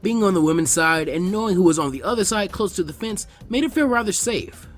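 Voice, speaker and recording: Male narrator for fan fiction audio, higher-pitched voice, quick, expressive, light music underneath.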